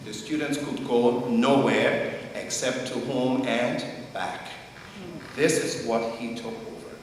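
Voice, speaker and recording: A man's voice: medium pitch, steady tone, slow. A man from Liberia giving a formal tribute speech, emphatic and repeating key points for stress. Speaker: speaker presenting an honoree. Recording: echoey hall, faint noise.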